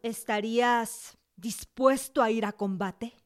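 A cultured young revolutionary in a radio drama. Her voice is fairly high, assured and questioning, full of independent-minded resolve, with soft music behind it. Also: fairly even intonation, slow. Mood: probing.

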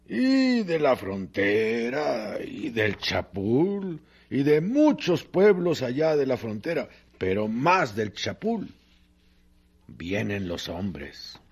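A man narrating a radio drama in a solemn, deliberate literary style with dramatic pauses. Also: mid-range voice, very animated, slightly rough.

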